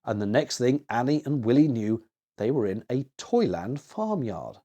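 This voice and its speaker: A man narrates a children's story in a mid-pitched voice. His delivery is very animated, swinging up and down with expressive, dramatic emphasis. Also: moderate pace, muffled recording.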